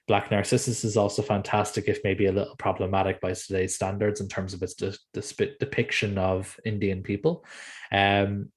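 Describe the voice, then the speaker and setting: Man, Irish accent, low voice, film podcast host, rambling, lots of fillers. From Ireland. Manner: rambling, filler-heavy, chatty. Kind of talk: casual film discussion.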